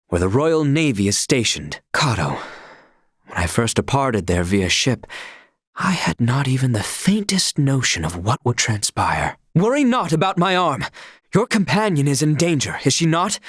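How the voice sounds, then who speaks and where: An actor voicing an earnest, stern young knight in a video game, with formal, archaic and stiffly proper diction. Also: male voice, medium pitch, a bit bright, breathy edge, no room sound, clean studio sound, exaggerated voice. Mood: measured, then urgent.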